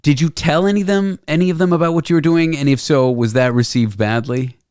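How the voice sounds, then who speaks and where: A man in his thirties hosting a podcast, chatting casually with teasing, deadpan jokes. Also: on the high side, brisk, clean tone, dry recording, studio quality. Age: 30s. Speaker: podcast host. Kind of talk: casual comedic chat.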